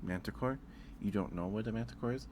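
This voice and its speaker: A soft-spoken man hosting a casual ASMR stream, chatting with viewers and musing aloud in a hesitant way. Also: on the low side, fast, noisy background.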